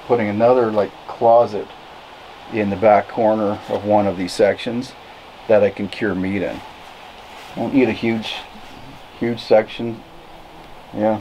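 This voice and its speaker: A man in his fifties explaining casually to camera, unhurried and thinking aloud as he goes. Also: lowish voice, dark tone, poor audio. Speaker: vlogger, cabin builder. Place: outdoors in a forest, open timber frame. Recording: clear background noise outdoors.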